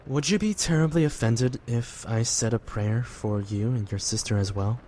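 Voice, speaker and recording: A man's voice: mid-range voice, normal speed, a little mellow, close to an everyday voice. A man acting as an earnest, devout young man in a fan audio drama, speaking politely and sincerely, a little hesitant. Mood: politely firm. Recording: clearly noisy background ambience.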